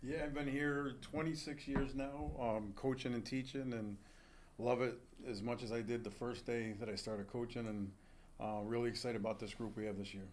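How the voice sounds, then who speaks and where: A middle-aged man, a college soccer coach, answering in a measured way with fillers in a studio. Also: mid-range voice, fairly even intonation, slight background noise. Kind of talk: casual interview answers.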